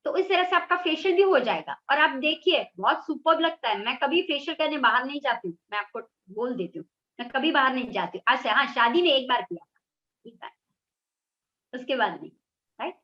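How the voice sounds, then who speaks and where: High-voiced woman giving chatty skincare tips. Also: lively intonation, fairly quick, bright, forceful tone. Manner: chatty, instructive, mixes English phrases. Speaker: naturopathy doctor. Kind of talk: casual health talk. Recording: dull lo-fi sound.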